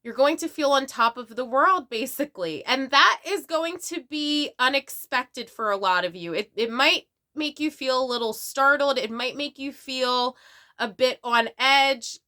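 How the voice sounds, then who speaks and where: A woman's voice: high-pitched, unhurried, bright, forceful tone. A woman giving a casual tarot reading, bubbly and chatty, often tagging her lines with "okay?", a little sick and sniffly. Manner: bubbly, tags lines with "okay?" and "all right?". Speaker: tarot reader.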